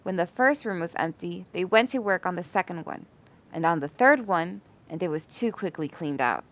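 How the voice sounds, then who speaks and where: A woman's voice: on the low side, lively intonation, moderate pace, fairly bright tone, highs cut off. A woman reading a children's bedtime story aloud with expression.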